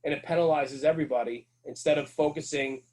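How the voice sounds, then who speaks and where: A man's voice: fairly high pitch, fairly even intonation, quick pace, a little mellow, low-quality recording. A middle-aged man speaking as a podcast guest, earnest and emphatic, repeating his key points as he talks casually about his work. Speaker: guest, firefighter and fire-service radio host.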